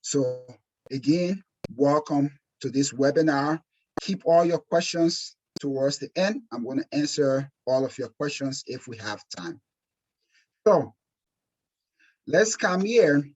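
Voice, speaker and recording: Liberian guy teaching an online webinar, kinda husky voice, really earnest, slightly muffled audio. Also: fairly high pitch, animated, slow, darkish tone. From Liberia, West Africa. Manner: earnest, emphatic, repeats phrases for stress. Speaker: insurance exam course instructor. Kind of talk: webinar course introduction.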